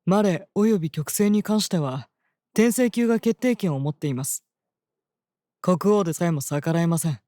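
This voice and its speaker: A woman's voice: fairly low pitch, lively intonation, normal speed, dark tone, no echo, clean studio sound, close to an everyday voice. A woman playing a stern senior temple seer in a fantasy drama, lecturing in an articulate, cutting manner. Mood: stern reproach. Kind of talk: dramatic scripted voice acting.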